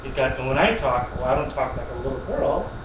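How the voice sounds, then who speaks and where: A man's voice: medium pitch, lively intonation, fast, very noisy. Patient man teaching piano over webcam. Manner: patient, encouraging, repeats things and praises often. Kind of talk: one-on-one children's music lesson. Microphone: webcam from a distance. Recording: echoey room, distant dull webcam mic, music.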